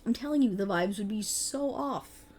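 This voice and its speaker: Woman playing an animated, sarcastic best-friend voice actor. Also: mid-range voice, normal speed, a little hiss or hum, lightly acted. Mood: teasing, candid. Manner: sarcastic, chatty, casual, hesitant asides. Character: exasperated, playful young woman voice actor bantering with best friend. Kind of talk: ASMR roleplay, one side of a conversation.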